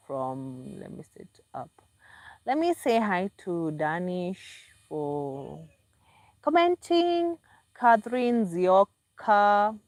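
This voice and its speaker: A woman's voice: low voice, darkish tone, dry recording. A Kenyan woman hosting a casual livestream, chatty and repetitive as she greets viewers by name and promotes her books. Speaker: livestream host and author.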